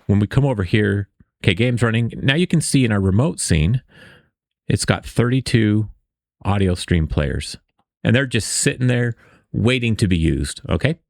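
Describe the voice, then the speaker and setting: A man's voice: fairly low pitch, animated, normal speed, creaky, darkish tone, no room sound, studio quality. A man hosting a casual screen-share coding tutorial, explaining step by step in an informal, conversational way. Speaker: tutorial host.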